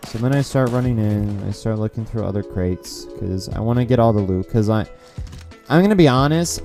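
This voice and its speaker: A man's voice: on the low side, mellow. Rambling young man with a dry, cracking voice. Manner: rambling, frequent restarts and fillers, swears. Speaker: gaming video creator. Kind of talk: casual game review commentary.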